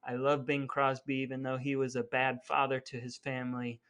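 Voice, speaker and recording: A man's voice: medium pitch, monotone, a little fast, a bit bright, clear, resonant tone. A man co-hosting a podcast with a playful, mock-earnest delivery that mixes chat and scripted patter. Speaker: podcast co-host. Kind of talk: chatty scripted podcast narration.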